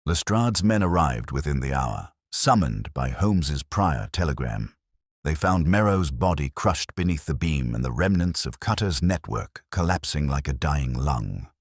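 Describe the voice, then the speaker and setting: A male audiobook narrator with an extremely deep voice reads a scripted mystery dramatically. His pitch moves in lively swings, and the recording is dry and close. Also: unhurried, slightly rough, no echo.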